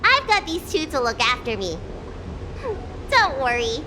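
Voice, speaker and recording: A woman's voice: high-pitched, big pitch swings, measured pace, noisy background. A woman voicing a small dragon girl in a video game, a frank and curious young elder with a playful, childlike manner. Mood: cheerful, curious.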